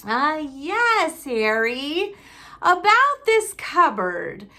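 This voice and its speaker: A woman voicing a gruff, blustering middle-aged uncle in a storybook, snapping and sneering. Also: high-pitched, very animated, very slow, pitched above the performer's own voice, exaggerated voice. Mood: forced niceness. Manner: snapping, sneering, forceful delivery.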